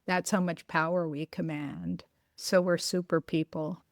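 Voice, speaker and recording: A woman's voice: on the low side, steady tone, unhurried, no echo, studio quality. A woman hosting a podcast, chatting casually and telling personal stories with enthusiasm. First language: bilingual in Greek. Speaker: podcast host. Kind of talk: casual interview chat.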